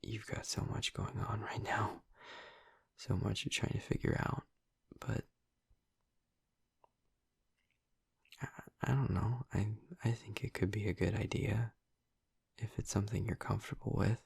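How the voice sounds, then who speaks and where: A man playing a gentle, earnest vampire boyfriend in an intimate audio roleplay, speaking softly and haltingly in a husky, breathy, hushed voice. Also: on the low side, fairly even intonation, dry recording, in a whisper, full character voice. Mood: tentative, gentle. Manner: halting, soft-spoken, confiding with long pauses. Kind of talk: intimate audio roleplay, ASMR-like soft delivery.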